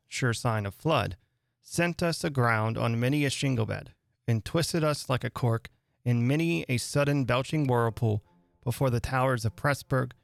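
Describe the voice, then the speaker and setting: A man narrating a literary story dramatically, with measured, deliberate phrasing and pauses. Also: mid-range voice, gravelly, no room sound. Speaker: reader and host of a dramatic reading radio show.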